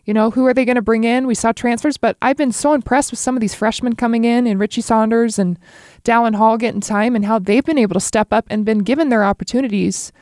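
A woman's voice: a little high, fairly even intonation, fast, a little mellow, dry recording, clean studio sound. A woman guest analyst explaining a basketball team's prospects with confidence, often tagging her points with "right?". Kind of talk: sports analysis talk.

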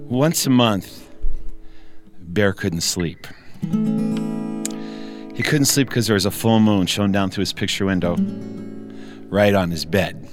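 A man with a fairly low voice tells a musical story live. He is folksy and playful, taking his time over phrases while a guitar plays clearly behind him. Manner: folksy, deliberate, playful telling. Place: studio with audience. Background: plucked guitar.

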